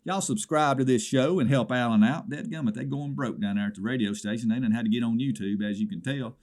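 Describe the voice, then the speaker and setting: A man's voice: mid-range voice, fast, darkish tone, close to an everyday voice. A man playing an indignant small-town caller with a Southern American accent, rambling through a comedy swap shop report with exasperated asides. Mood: folksy, friendly.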